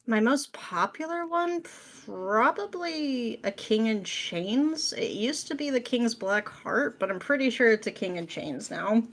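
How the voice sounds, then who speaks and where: A woman's voice: on the high side, animated, a little slow, few pauses. A woman chatting casually as a podcast guest, with a playful, jokey and slangy way of talking. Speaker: podcast guest, script writer. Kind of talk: casual chat.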